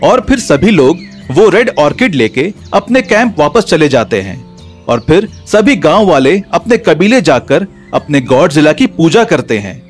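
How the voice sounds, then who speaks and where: A male storyteller reads a scripted tale with a very lively, varied intonation. His voice is somewhat high for a man, and music plays behind him. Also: a little slow.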